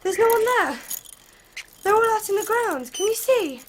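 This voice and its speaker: An English actress playing a spirited, well-spoken young Victorian girl in an expressive radio drama performance. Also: extremely high pitch, normal speed, background noise. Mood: wistful. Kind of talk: 1990s radio drama acting.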